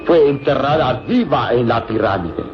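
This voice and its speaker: An actor playing a sly, courteous German villain in a radio serial, with a German accent and formal, theatrical delivery. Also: male voice, mid-range voice, lively intonation, highs cut off, background noise, close to an everyday voice. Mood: suave, mysterious. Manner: theatrical, formal, insistent, with dramatic pauses. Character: sly German villain, smoothly deceitful, courteous adult man. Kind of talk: vintage radio serial acting. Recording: band-limited radio recording, loud music behind.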